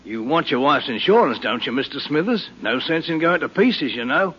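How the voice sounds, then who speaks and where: An actor playing a prying, officious insurance investigator in a 1940s radio drama, brisk and insinuating. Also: male voice, a little high, animated, slightly rough. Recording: muffled 1940s radio recording.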